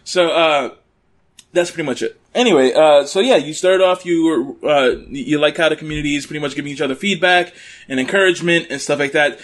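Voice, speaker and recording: A man hosting a casual podcast from his apartment, loud and joking at his own expense into a desktop mic. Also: a little high, a little fast. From Brooklyn, New York. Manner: loud, jokey, self-mocking. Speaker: podcast host. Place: home apartment. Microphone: USB desktop condenser mic.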